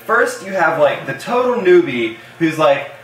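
Higher-voiced young American man, echoey room. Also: big pitch swings, unhurried. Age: young adult. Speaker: co-host. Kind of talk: casual banter to camera. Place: small indoor room with hard table. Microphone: camera a few feet away. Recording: echoey room, distant camera mic.

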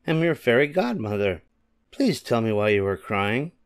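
A man voicing a plump, kindly little fairy godmother who gives gently bossy instructions in a storybook. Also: medium pitch, big pitch swings, moderate pace, clear, resonant tone. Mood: kind, concerned. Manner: kind, instructing. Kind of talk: storybook character voice.

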